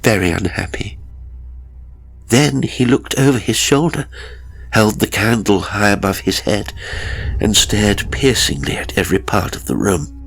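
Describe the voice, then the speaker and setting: A man narrating a first-person horror story dramatically, in a measured, suspenseful way with deliberate pauses. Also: lowish voice, a bit bright, slightly rough, soft background music. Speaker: audiobook narrator.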